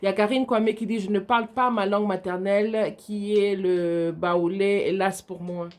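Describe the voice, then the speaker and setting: A woman moderating a religious live stream, asking questions and reading viewer comments in a plain, measured way. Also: medium pitch, steady tone, a little mellow.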